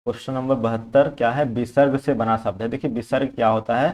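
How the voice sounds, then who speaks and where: A man's voice: mid-range voice, steady tone, fast, darkish tone. Man teaching an exam-prep lesson. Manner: explanatory, repeats points, mixes in English terms. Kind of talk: informal exam-prep lesson, working through questions.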